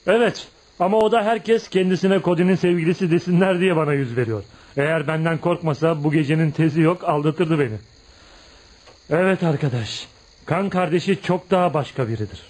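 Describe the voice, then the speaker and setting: An actor in a radio play voicing an embittered, proud young outcast who confides in a dramatic, emphatic storytelling manner. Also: male voice, fairly high pitch, fairly quick, a little mellow, lightly acted. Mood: bitter, proud. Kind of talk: radio theatre acting.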